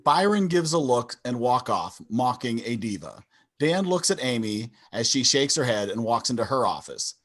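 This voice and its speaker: A man's voice: mid-range voice, big pitch swings, moderate pace, fairly bright tone. A man reading scripted stage directions as the narrator of a sitcom table read. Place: indoors, on a video call.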